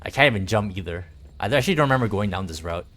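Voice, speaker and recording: A man's voice: lowish voice, animated, racing, fairly bright tone. A man giving casual, rambling livestream game commentary, chatting informally with some swearing. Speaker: game streamer. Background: game music.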